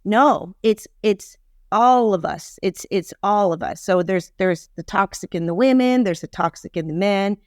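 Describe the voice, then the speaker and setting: A woman's voice: mid-range voice, unhurried, no room sound, studio quality. A woman in her fifties speaking as a podcast guest, giving opinions in an emphatic, casual way and repeating phrases for stress. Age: 50s. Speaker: podcast guest, relationship expert.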